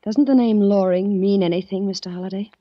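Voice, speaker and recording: A vengeful young woman with a gun, played by an actress, speaking in cold, clipped threats. Her voice is dark and husky, with no room around it. The old radio broadcast sounds dull. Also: medium pitch, a little fast, no echo, lightly acted. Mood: cold threatening. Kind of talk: 1940s radio acting.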